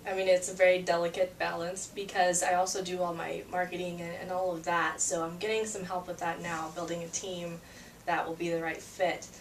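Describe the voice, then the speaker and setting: A woman's voice: on the low side, little pitch movement, a little fast, distant mic. A young woman from Peru talks easily as an interview guest, trailing into fillers and restarts and breaking into laughs. She sits a little away from the mic in an echoing room, with a faint hum of ambience behind her. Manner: chatty, laughs often, many fillers and restarts. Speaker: singer-songwriter guest. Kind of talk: casual interview chat.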